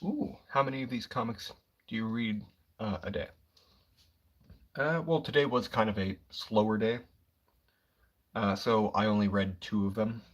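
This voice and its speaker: A young man talking quietly and casually on a livestream, rambling about comic books with lots of fillers. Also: lowish voice, moderate pace, long pauses. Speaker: comic book streamer.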